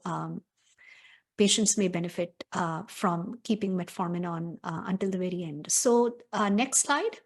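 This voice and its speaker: Woman, physician, webinar talk, brisk technical delivery, frequent fillers, video call. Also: mid-range voice. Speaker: physician presenter. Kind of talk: webinar presentation.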